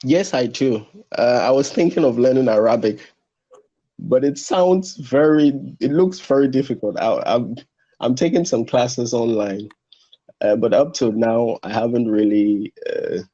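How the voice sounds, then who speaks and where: A man's voice: a little slow, mellow. A young man, a French speaker, answering interview questions in a hesitant way with many restarts. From Benin. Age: young adult. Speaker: translation student guest. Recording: muffled low-fidelity audio.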